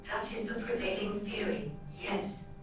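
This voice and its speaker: A woman voicing an ancient, solemn machine being in a game, speaking in a measured, grave, formal way. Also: medium pitch, natural voice. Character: ancient female machine being, solemn soul in gilded metal body. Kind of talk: scripted game character dialogue. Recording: very echoey, distant, music behind.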